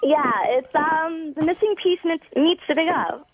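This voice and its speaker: A woman chatting casually as a radio guest, speaking in a halting, vague way. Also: sits high, narrow, tinny audio. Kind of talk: casual chat.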